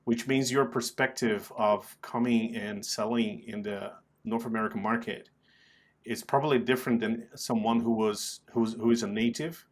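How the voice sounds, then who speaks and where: Male podcast host and interviewer, medium-pitched voice, presenter delivery. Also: fairly even intonation, moderate pace. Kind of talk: scripted intro and interview questions.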